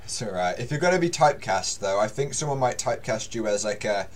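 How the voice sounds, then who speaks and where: A young man with a deep voice, a guest on a podcast, chatting in a friendly way with frequent fillers. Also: animated, fast talker. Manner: friendly, laughs often, hesitant fillers. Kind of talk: casual chat.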